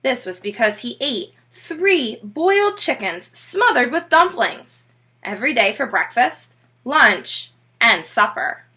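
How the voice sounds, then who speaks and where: A woman with a high, bright voice reads a children's storybook aloud like a schoolteacher. She is expressive and emphatic, pausing for short asides to her listeners. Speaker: teacher reading a children's book aloud.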